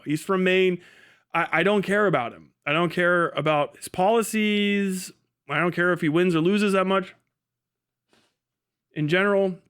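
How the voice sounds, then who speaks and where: A man's voice: fairly high pitch, fairly bright tone. A man giving casual, opinionated political commentary as a livestream host, blunt and full of swearing, rambling and restarting mid-thought.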